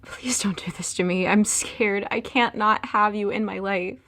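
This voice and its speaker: A woman's voice: medium pitch, fairly even intonation, unhurried, background noise, close to an everyday voice. A young woman acting in an audio drama as a heartbroken, emotional girlfriend pleading with her boyfriend. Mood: scared, pleading.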